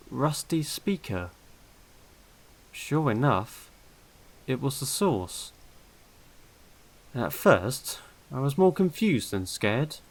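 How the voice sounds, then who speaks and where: Slow-paced man narrating a horror story. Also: mid-range voice. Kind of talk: scripted first-person horror narration. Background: eerie background music.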